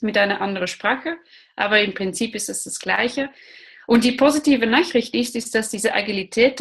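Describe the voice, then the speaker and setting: A woman from Belgium with a Belgian accent, calmly explaining concepts as a mindfulness trainer. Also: a little high. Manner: plain, explanatory, with fillers. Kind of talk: explanatory talk to an online audience.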